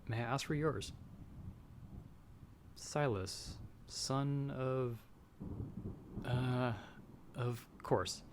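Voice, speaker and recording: A man's voice: medium pitch, drawn-out words, pauses often, background noise. A man playing a humble young farmer's son in an audio roleplay, speaking hesitantly with courteous, old-fashioned formal phrasing. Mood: awed, shy. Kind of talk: ASMR audio roleplay acting.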